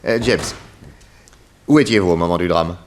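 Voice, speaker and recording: A man's voice: low voice, normal speed, slight background noise, close to an everyday voice. A man playing a shrewd, probing police detective with a wry, insistent manner in a 1960s French radio drama. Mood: businesslike, probing. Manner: inquisitive, wry, casually insistent.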